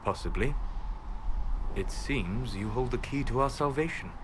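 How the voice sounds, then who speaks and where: A man playing a calm, scholarly wandering mage in a fantasy game, measured and precise. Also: medium pitch, lightly acted. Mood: measured, thoughtful. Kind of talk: dramatic fantasy game acting. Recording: clearly noisy outdoor game ambience.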